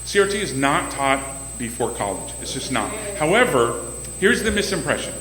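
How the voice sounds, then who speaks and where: A man running for city council, answering audience questions at a community meeting in a loud, emphatic way and often repeating words as he starts a sentence. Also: mid-range voice, very animated, normal speed. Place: banquet room at a community meeting. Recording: echoey hall with faint hum.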